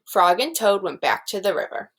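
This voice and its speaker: A woman's voice: mid-range voice, big pitch swings, moderate pace. A woman teacher explaining things simply to young children and reading a storybook aloud.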